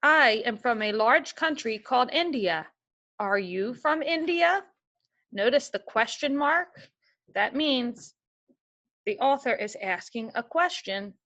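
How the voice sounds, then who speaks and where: A woman's voice: on the high side, lively intonation, takes it slowly, fairly bright tone, highs cut off. A woman teaching a remote class of young children, reading a picture book aloud and giving patient, step-by-step instructions. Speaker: elementary school teacher.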